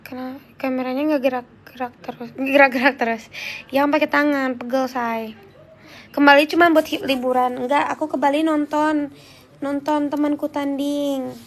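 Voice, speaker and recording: A woman's voice: high voice, fairly even intonation, moderate pace. A young woman with a voice congested by flu, chatting casually and informally on a livestream as she answers viewers. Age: young adult. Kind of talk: casual chat.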